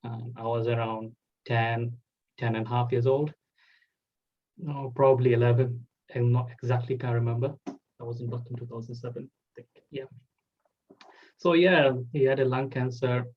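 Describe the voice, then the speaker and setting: A man's voice: mid-range voice, little pitch movement, normal speed, long pauses, muffled recording. A man, hosting a casual interview and talking loosely with many "like" fillers. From Bangladesh. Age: 20s. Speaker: host.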